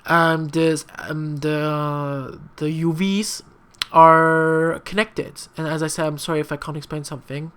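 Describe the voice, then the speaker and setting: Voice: mid-range voice, flat delivery, measured pace, fairly bright tone, clear, resonant tone. Young non-native english speaker doing a casual screen tutorial, rambly, says um and like a lot, keeps apologizing, bit sassy. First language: not English. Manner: rambling and chatty, many fillers, often apologizing; frank, slightly sassy asides. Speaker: tutorial maker.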